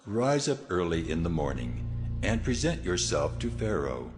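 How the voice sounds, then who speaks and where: A man playing God in a dramatized Bible reading, speaking in a stern, measured, declamatory way. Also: low voice, very animated. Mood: solemn, commanding. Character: God, a solemn, commanding divine voice. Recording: music bed behind the voice. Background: music.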